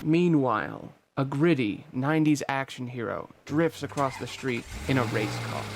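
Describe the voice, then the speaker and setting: A man's voice: normal speed, gravelly. A man reading out a screenplay as narrator, in a dramatic scripted style, at a table read. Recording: loud ambient rumble behind voice.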